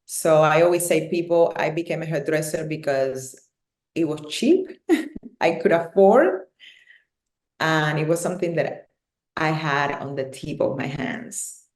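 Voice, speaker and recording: A low-pitched woman in her thirties with a Cuban Spanish accent talks frankly as a podcast guest and laughs easily. Her voice rings in an echoey room. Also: animated, measured pace. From Cuba. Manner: warm, candid, laughs often. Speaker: guest, hairstylist and educator. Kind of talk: casual podcast interview.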